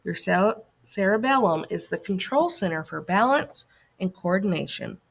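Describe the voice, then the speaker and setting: A woman's voice: mid-range voice, animated, normal speed, vocal fry, breathy edge. A woman reading a scripted educational lesson aloud to children as a teacher, putting questions and directions to young listeners.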